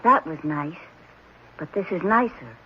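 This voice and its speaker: A woman playing an earnest, softly insistent young orphan boy in radio drama, speaking in simple, deliberate childlike phrases. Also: lowish voice, animated. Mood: earnest, wondering. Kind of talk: 1970s radio drama acting. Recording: muffled, noisy 1970s radio recording.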